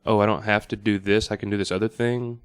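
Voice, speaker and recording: A man's voice: lowish voice, little pitch movement, quick pace, clear, resonant tone, no echo, studio quality. A man on a podcast panel, talking informally and explaining things through examples. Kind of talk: casual panel discussion. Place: studio.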